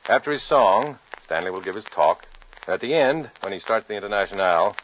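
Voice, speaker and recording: An actor with an American accent playing a wry, clipped, hard-boiled undercover agent in a 1950s radio drama. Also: male voice, fairly low pitch, animated, fairly quick, fairly bright tone, a little hiss or hum. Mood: wry, brisk. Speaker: lead actor and narrator. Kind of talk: 1950s radio drama acting, noir narration. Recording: muffled 1950s radio recording, music behind.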